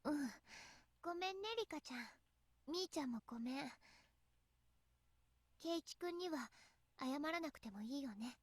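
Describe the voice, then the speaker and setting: A woman's voice: high voice, expressive, fairly quick, long pauses, no room sound. A woman playing a teenage anime schoolgirl who swings between sugary sing-song cheer and cutting sarcasm, with background noise. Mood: apologetic, pointed. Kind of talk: Japanese anime visual novel acting.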